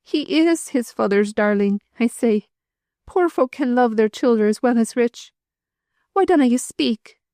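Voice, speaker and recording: A woman's voice: a little high, a little slow, warm tone, no room sound, studio quality, pitched above the performer's own voice. A woman voicing an ailing, grieving poor wife with a put-on Northern English dialect, querulous and rising into wild pleading. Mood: frantic grief.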